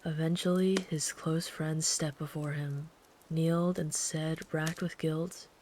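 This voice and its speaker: A woman playing a kind, protective traveler in an ASMR sleep-aid roleplay, speaking gently and soothingly in a hushed, partly whispered voice. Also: low voice, steady tone, a little slow, a little hiss or hum, rough recording. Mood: hushed, storytelling. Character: kind, protective traveler comforting a runaway by a campfire.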